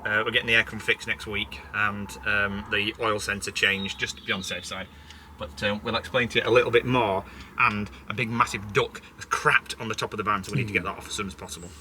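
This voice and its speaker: Chatty bright-voiced man, rambling on. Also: lowish voice, fairly even intonation, fast talker, slight background noise. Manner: rambling, enthusiastic, many fillers. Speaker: vlog co-host. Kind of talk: casual chat to camera.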